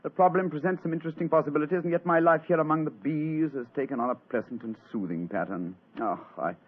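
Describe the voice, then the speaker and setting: An actor playing a composed British gentleman detective, urbane and musing, in an old-time radio drama. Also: on the high side, fast, natural voice. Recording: thin, muffled old radio recording.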